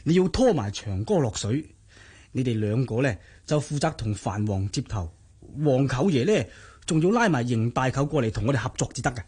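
A male actor plays a scheming family ringleader in a Cantonese radio drama. His voice is husky and swings widely in pitch. He insinuates and coaxes, sly and ruthless. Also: big pitch swings, fairly quick, darkish tone.

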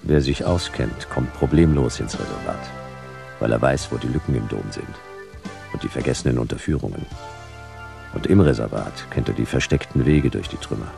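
A man playing a world-weary private detective in a noir sci-fi radio play, terse, dry and deadpan. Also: very deep voice, normal speed, creaky, music in the background, full character voice. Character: world-weary, laconic hard-boiled male private detective.

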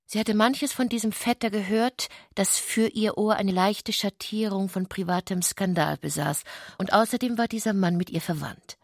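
A woman narrating a literary radio play from a script, in a measured, deliberate manner. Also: mid-range voice, no room sound, studio quality.

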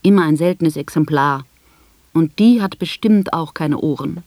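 Dry, measured blind woman, bitterly ironic. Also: sits low, darkish tone, no room sound. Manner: articulate, measured, sardonic. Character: blind woman recounting her life with bitter, ironic humour. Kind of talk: literary radio drama monologue.

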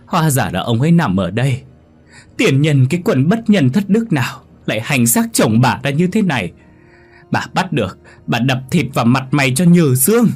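A man's voice: big pitch swings, moderate pace, a little mellow, breathy edge, soft background music. A man voicing a stout older village woman, a pork seller, who wails and hurls coarse threats. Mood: wailing. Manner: shrill wailing, coarse threats.

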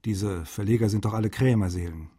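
A man's voice: fairly low pitch, fairly quick, mellow, husky. An actor playing a cordial literary translator, reading his letters aloud in a radio documentary. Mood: cordial, frank.

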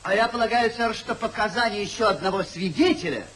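An actor playing a self-righteous, hypocritical gentleman in a 1950s radio comedy, formal, unctuous and emphatic. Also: sits high, expressive, a little fast. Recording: distant, faintly noisy 1950s radio recording.

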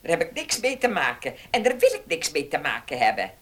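An actress playing a stingy, domineering old farm matriarch in a radio play, cold and scornful. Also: female voice, deep voice, wildly swooping pitch, fairly quick, a bit bright, exaggerated voice. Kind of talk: Dutch radio play acting.

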